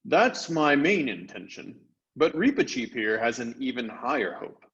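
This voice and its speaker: A man's voice: mid-range voice, unhurried, highs cut off. A man voicing a confident, eager young seafaring king in a storybook, with a declamatory manner. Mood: earnest, resolute. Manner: animated, declamatory.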